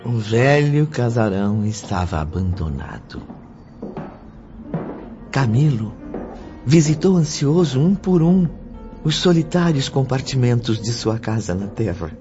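A man narrating a scripted radio drama in a solemn, literary, measured manner. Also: medium pitch, sing-song. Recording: muffled radio drama with music bed.

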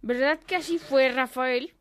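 A woman's voice: sits high, unhurried. A woman voicing a sly, smug young boy in a radio drama. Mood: slyly polite. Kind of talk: Spanish-language radio drama acting.

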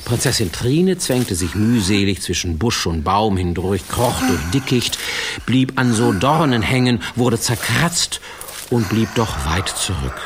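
A man narrating a fairy-tale radio play in a wry, playful storytelling manner with drawn-out emphasis. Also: on the low side, big pitch swings, slight background noise.

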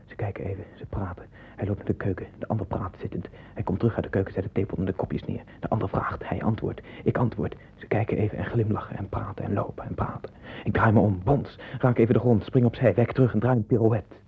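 An actor in a 1960s radio play voicing a troubled man sinking into obsessive visions, erratic and husky. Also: on the low side, fairly even intonation, fast talker, hardly any pauses, whispered, full character voice. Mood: feverish, incantatory. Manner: erratic, swinging from hushed brooding to loud agitation. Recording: muffled narrowband old radio recording.

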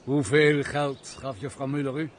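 An actor playing a world-weary, reclusive gentleman master in a radio play, curt and commanding in manner. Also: medium pitch, steady tone, normal speed, close to an everyday voice. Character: brooding, reclusive misanthropic gentleman master with a hidden kindness. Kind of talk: theatrical Dutch radio play acting. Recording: faint background noise, old radio play.

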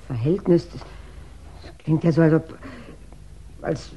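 An actress playing a defensive, emotional widow in a 1960s German radio crime drama, hesitant and intense. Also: female voice, low-pitched, measured pace, warm tone, slightly rough, full character voice, low-quality recording. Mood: guarded, uneasy.

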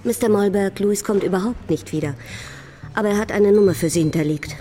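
A woman's voice: medium pitch, fairly quick, dark tone, slight background noise, natural voice. A woman playing a guarded hotel receptionist. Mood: guarded polite. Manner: polite, guarded.

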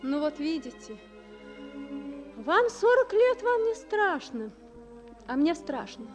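A very young wartime nurse for radio theatre. Her voice is high and girlish, swinging freely in melody. She speaks artlessly and confidingly, with wistful candour, over faint music. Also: big pitch swings, slow pace, full character voice. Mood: candid, fearful. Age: young adult. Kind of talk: Soviet wartime radio drama acting.

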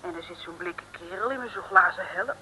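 Bright-voiced detective's girl sidekick. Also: on the low side, a little fast. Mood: wary, matter-of-fact. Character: sensible, scolding young female detective sidekick. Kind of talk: 1960s comic radio play acting. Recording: old noisy radio recording with long reverb.

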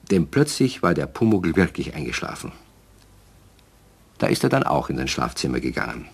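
A genial storyteller with a deep, husky voice narrates a children's radio play. His delivery is very lively in pitch as he speaks straight to the young audience. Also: heavily acted. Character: genial male storyteller addressing child listeners.

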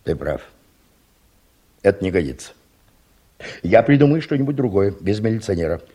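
Radio play role: scheming, idealistic old engineer, husky voice, very animated swings, emphatic. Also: male voice, on the low side, moderate pace. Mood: stubborn, defiant. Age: older. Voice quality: husky, gravelly. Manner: emphatic, theatrical, plotting. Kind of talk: audio drama dialogue.

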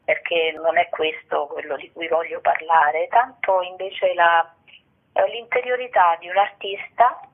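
A woman's voice: fairly low pitch, fairly even intonation, unhurried. A woman, an actress and playwright, explaining her work reflectively in an interview, searching for words and adding little tag questions. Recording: tinny phone line on radio.

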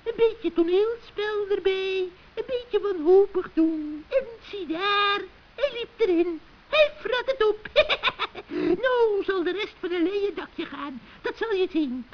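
A performer voicing a gleeful, scheming old witch in a children's radio play, shrill and cackling, with taunting mock sweetness. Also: animated, slow, exaggerated voice. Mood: gloating. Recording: dull, muffled old radio recording.

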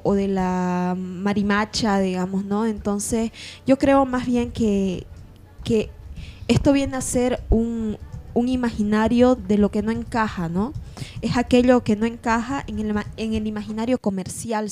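A woman's voice: mid-range voice, dark tone. A woman giving informal radio commentary, hesitant, often checking in with tag questions. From provincial town. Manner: hesitant, frequent "¿no?" tags. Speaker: psychologist and radio announcer.

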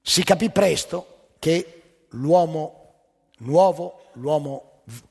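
Male stage storyteller, satirical monologue, raised pitch, husky, dramatic pauses, long hall reverb. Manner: dramatic pauses and emphatic delivery. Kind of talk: theatrical satirical monologue with anecdotes.

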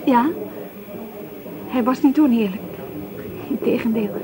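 Actress as earnest waitress, highish voice. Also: female voice, unhurried, lightly acted, poor audio. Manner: earnest, plain-spoken, slightly hesitant. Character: earnest, loyal waitress defending an absent friend. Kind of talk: naturalistic radio drama dialogue. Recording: busy crowd noise around the voice. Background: café chatter.

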